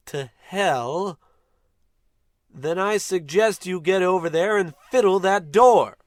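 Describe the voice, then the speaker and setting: Man acting as space captain, high-pitched, unhurried, pompous, short-tempered, commanding, radio comedy. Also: a bit bright, no room sound, studio quality, heavily acted. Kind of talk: scripted old-time radio comedy acting.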